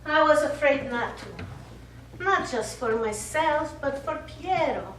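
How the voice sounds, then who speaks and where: Actress doing hurt bitter italian woman in a stage play, slow n accusing, mic far off, really echoey. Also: sits high, animated, a bit bright, full character voice. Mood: bitter, pained. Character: bitter wounded Italian war survivor, middle-aged woman. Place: small theatre stage. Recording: echoey theatre stage, distant mic, faint noise.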